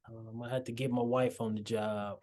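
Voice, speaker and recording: A man's voice: mid-range voice, flat delivery, fairly quick, a little mellow, a little hiss or hum. A man hosting a marriage podcast over a video call, speaking earnestly with many fillers and restarts. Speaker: podcast host. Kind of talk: casual video-call chat.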